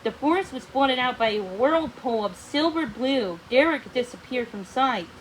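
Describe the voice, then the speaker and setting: A woman's voice: high voice, animated, normal speed, slight background noise, low-quality recording. A woman narrating a storybook read-along in a dramatic manner, occasionally stumbling over words.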